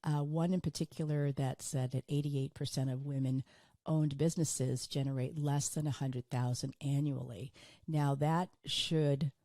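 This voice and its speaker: A woman hosting her own business podcast, talking earnestly on her own, a little hoarse and tired from illness. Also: sits low, steady tone, unhurried, mellow, dry recording, clean studio sound. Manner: earnest, repeats for emphasis. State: hoarse, recovering from illness, fatigued. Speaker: podcast host. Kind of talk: solo business podcast monologue.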